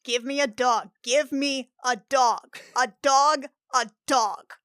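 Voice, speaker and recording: A woman's voice: sits high, slow pace, a bit bright, clear, resonant tone. A woman bantering casually as a podcast panelist, with an emphatic, playful way of talking. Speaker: podcast panelist, tabletop player.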